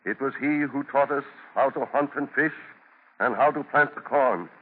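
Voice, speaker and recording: A man plays a pious, paternal colony governor in a 1940s radio drama. He is earnest and measured, with a middling pitch. The sound is narrow and tinny. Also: a little hiss or hum, lightly acted. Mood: concerned, firm. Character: Governor Bradford, kindly, pious, fair-minded colony leader. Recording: tinny 1940 radio broadcast.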